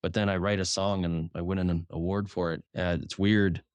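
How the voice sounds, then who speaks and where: A man, a writer and journalist, talking frankly in a casual interview, swearing now and then and restarting his sentences, speaking above his naturally deep voice. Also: little pitch movement, normal speed, clear, resonant tone, no echo. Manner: frank, swears casually, repeats words when starting sentences.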